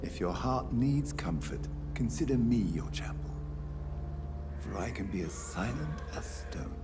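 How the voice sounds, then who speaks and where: An actor playing a gentle, patient vicar in a video game, speaking in a soft, soothing, priestly way. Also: male voice, mid-range voice, expressive, measured pace, heavy background noise, natural voice. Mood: consoling. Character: gentle, caring male vicar, patient confessor. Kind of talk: video game cinematic acting.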